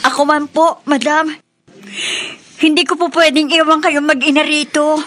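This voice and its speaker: Casting: anxious, stammering female servant, radio drama, shrill high voice, urgent and respectful. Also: level tone, moderate pace, a bit bright. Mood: worried. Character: panicky, deferential female household maid.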